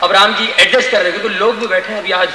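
A man's voice: sits high, fairly even intonation, racing. A middle-aged man doing stand-up, telling a comic story casually into a stand mic on a large stage at night. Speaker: stand-up comedian. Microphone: stand mic, far camera. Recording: echoey distant live stage recording, noisy.

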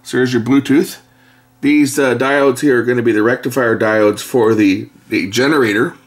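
A middle-aged man giving a casual hands-on narration as an electronics repair presenter, speaking haltingly as he thinks aloud at an indoor workbench. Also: mid-range voice, fairly even intonation. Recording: faint background noise, workbench clicks. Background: faint noise, clicks of handled parts.

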